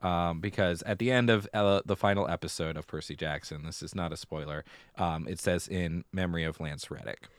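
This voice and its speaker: A man with a low voice talks as a podcast co-host in a casual, conversational way. He tends to repeat phrases to make a point. The sound is dry, with very little room on it. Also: brisk, few pauses, dry recording. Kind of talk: casual chat about musical theater and pop culture.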